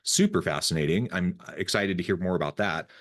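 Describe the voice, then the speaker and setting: A man's voice: low-pitched, fairly quick, a bit bright. A man hosting an interview podcast, enthusiastic and upbeat as he talks with his guest over a remote call. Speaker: podcast host. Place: home, south of Salt Lake City.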